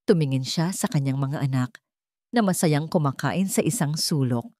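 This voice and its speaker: A woman's voice: deep voice, normal speed, warm tone, no room sound, clean studio sound. A woman reading a scripted, dramatic story as narrator.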